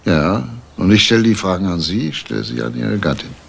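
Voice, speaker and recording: An actor playing a calm, persistent police detective in a crime drama, speaking in a matter-of-fact, official way. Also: male voice, fairly low pitch, fairly quick, vocal fry, slightly rough, heavily acted. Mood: firm, insistent. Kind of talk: German TV crime drama acting.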